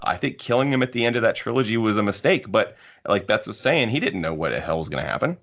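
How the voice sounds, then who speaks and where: A man with a fairly low voice hosts a casual discussion. He speaks emphatically and with firm opinions. The recording is muffled and lo-fi. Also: lively intonation, fast, fairly bright tone. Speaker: podcast host. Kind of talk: casual panel discussion of books. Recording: muffled lo-fi audio.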